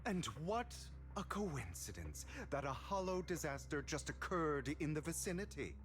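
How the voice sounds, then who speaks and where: An actor playing a proud, troubled young avenger in a video game, with intense theatrical phrasing. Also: male voice, on the high side, very animated, normal speed, faint music behind. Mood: bitter, defensive. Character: proud, troubled young male avenger haunted by his bloodline.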